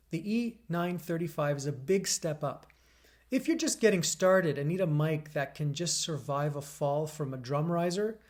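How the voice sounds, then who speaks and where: A man's voice: a little high, a little mellow. Upbeat man reviewing audio gear. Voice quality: smooth radio-DJ delivery. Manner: upbeat, punchy, with wry quips. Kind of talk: scripted product review narration. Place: indoors beside a PA speaker. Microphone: USB condenser mic.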